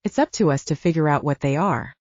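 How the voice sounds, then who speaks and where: A woman voicing a guarded, knowing young female vampire in an audiobook, soft-spoken and explanatory. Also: low-pitched, animated, fairly quick, dry recording, dull audio. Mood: earnest, explaining. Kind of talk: audiobook character dialogue.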